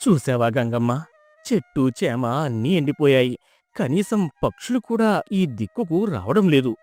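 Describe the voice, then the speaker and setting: A man voicing a poor, pious villager in a folk tale, earnest, humble and expressive. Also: medium pitch, measured pace, no room sound, faint music behind, natural voice. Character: poor, pious, honest village husband, humble and wonder-struck. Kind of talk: dramatised folk tale storytelling.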